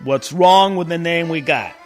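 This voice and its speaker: A man acting a stressed, weary mobster father in a comedic radio play, halting and exasperated. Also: a little high, faint music behind, natural voice. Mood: agitated.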